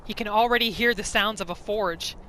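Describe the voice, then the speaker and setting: A woman's voice: mid-range voice, brisk, pushed and bright, slight background noise. A woman doing roleplay gameplay commentary, narrating her character's thoughts and reacting to the game. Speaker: gaming video creator, roleplayer. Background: faint music.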